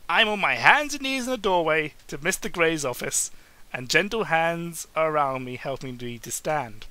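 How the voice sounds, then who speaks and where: A man reads prose as a comedic dramatic performance in a fairly high, very bright and forceful voice. His delivery is exaggerated and goofy, and he trips over phrases and slips into silly asides. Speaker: amateur reader making a comedic dramatic reading. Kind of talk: hammy comedic audiobook-style narration.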